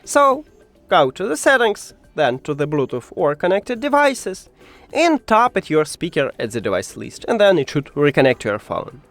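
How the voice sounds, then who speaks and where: Higher-pitched non-native English man, lively intonation. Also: moderate pace, soft background music. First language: not English. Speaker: tech tutorial presenter. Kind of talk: step-by-step spoken how-to instruction.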